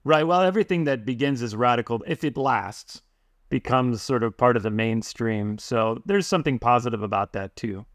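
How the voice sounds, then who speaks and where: A man hosting an interview, speaking in a relaxed way and thinking aloud with fillers. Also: medium pitch, moderate pace, dry recording, studio quality. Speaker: podcast host. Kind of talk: conversational interview.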